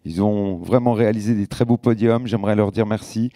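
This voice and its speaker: Mellow-voiced man addressing a ceremony. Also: on the low side, fairly even intonation, a little fast, dry recording, studio quality. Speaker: festival director presenting awards. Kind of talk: live stage address at an award ceremony.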